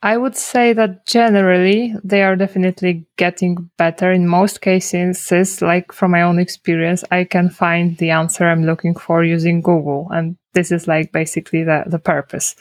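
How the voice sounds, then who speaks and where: A woman speaks English in a fairly low, flat voice. She talks hesitantly, restarting phrases and leaning on "like". The sound is dry and close, with no room around it. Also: moderate pace, few pauses, no echo. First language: Polish. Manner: hesitant, many restarts and "like" fillers. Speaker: guest, SEO consultant. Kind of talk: casual interview.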